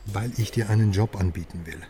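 A man's voice: on the low side, a little fast, mellow, recorded from a distance, slight background noise, close to an everyday voice. A man playing a pushy, self-assured journalist in a radio crime drama, talking at length in a persuasive, self-important way. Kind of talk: naturalistic radio drama dialogue.

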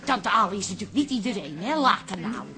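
An actress playing a friendly, placating adult daughter in a café radio drama. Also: female voice, mid-range voice, animated, fast, pushed and bright, faint music behind. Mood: concerned. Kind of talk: Dutch radio drama acting.

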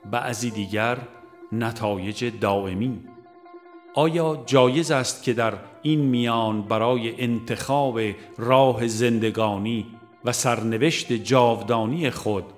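Formal male audiobook narrator over music. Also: mid-range voice. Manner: formal, measured recitation. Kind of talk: scripted narration of a religious book.

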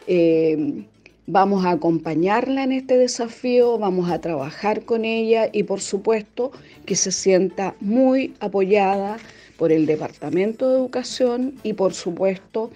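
A woman with a Chilean accent giving a formal statement in measured, hesitant phrases. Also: mid-range voice, dark tone, muffled recording. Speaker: municipal education director.

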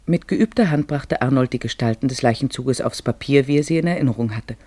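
Guy narrating a literary radio play, mellow husky voice, dry close sound. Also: mid-range voice, brisk, no room sound.

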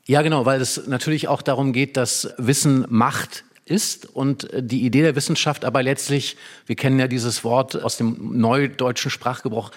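Man, medium pitch, explanatory talk, conversational, theatre expert, illustrative. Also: steady tone, moderate pace, hardly any pauses. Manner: conversational, illustrates with imagined dialogue.

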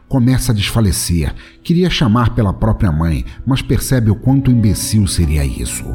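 A Brazilian man delivering dramatic scripted narration for an audio drama. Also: deep voice. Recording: narration over loud background music.

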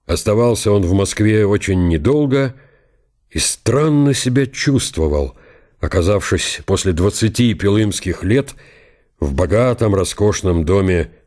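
A man narrating a historical story for a radio play, deliberate and broken into short phrases. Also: on the low side, expressive, a little mellow.

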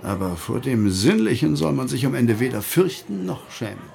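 A man's voice: mid-range voice, sing-song, normal speed, creaky, a little mellow. A man playing a worldly, opinionated art lover who declaims his views in a radio drama. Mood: assertive.

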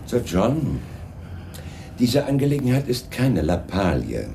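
A man playing a dry, composed, persistent police inspector in a radio crime play, speaking with measured, polite insistence. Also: mid-range voice, wildly swooping pitch, gravelly, mellow, slight background noise, full character voice. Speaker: actor in a radio crime drama. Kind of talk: 1980s German radio play acting.

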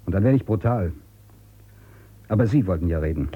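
An actor playing a brusque, suspicious outcast in a radio drama, with a commanding, curt way of questioning. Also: male voice, sits low, animated, brisk, slightly rough, narrow, tinny audio. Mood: suspicious, interrogating. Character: brusque, suspicious male outcast hiding in abandoned future city. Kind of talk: German radio drama acting.

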